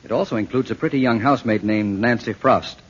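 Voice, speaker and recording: A quietly curious young detective, played by a male actor in an old radio play. He is husky, measured and politely persistent. The recording sounds dull and vintage. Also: medium pitch, close to an everyday voice. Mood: conspiratorial. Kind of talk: 1940s radio drama acting.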